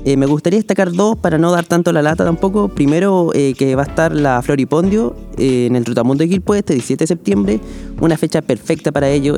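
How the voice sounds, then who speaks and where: A man's voice: on the high side, warm tone. A young Chilean man, a music panelist on a chat show, recommending concerts enthusiastically with many fillers. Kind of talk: radio-style panel chat.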